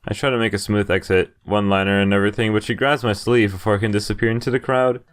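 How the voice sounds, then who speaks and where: A man's voice: fairly low pitch, fairly even intonation, quick pace, smooth. A man hosting a playthrough, reading a visual novel's text aloud as dramatized narration. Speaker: video game playthrough host. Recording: game music behind the voice. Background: game music.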